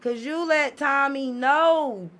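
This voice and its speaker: A woman's voice: high voice, takes it slowly, a crowd in the background. A talkative woman in a casual, candid group discussion, emphatic and slangy, with frequent swearing. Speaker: talk show panelist and parent.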